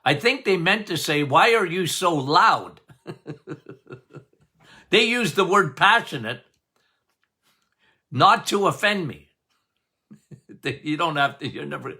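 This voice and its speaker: An older man hosting a health podcast as a doctor, talking loudly and passionately, with frequent laughs. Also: a little high, animated, slow, pauses often. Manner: loud, emphatic, passionate, laughs often. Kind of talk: casual health podcast talk.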